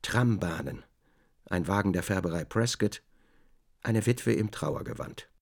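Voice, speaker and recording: A man's voice: low-pitched, measured pace, vocal fry. A man reading scripted literary narration as the narrator of a radio drama.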